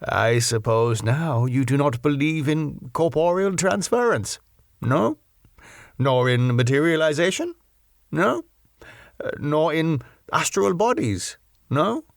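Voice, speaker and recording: A man voicing an older professor in an audiobook, earnest and philosophical, putting questions in halting, foreign-sounding English. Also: a little high, animated, gravelly, no room sound, studio quality, heavily acted. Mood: probing, questioning. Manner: rhetorical questioning, halting non-native English phrasing. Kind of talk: audiobook character acting.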